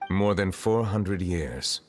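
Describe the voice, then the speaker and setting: Deep, grave, formal ancient judge. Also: male voice, expressive, soft background music. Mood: reflective. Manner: measured, formal, reserved. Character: centuries-old chief justice, grave, formal, modest male being. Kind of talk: video game voice acting.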